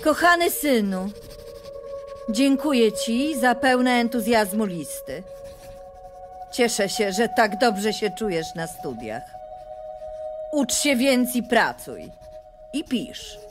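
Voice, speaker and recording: An actress playing a loving but stern mother reading a letter in a radio drama. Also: on the high side. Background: music.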